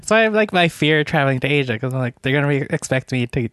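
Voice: mid-range voice, lively intonation, fast talker, a bit bright, smooth, dry recording. Casual anecdote-teller for a chat podcast, self-deprecating, laughs through sentences, dry sound. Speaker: podcast guest.

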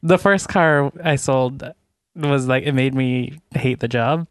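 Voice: mid-range voice, slow pace, no echo, studio quality. A podcast guest chatting casually and telling personal anecdotes in a self-deprecating way, often laughing while talking.

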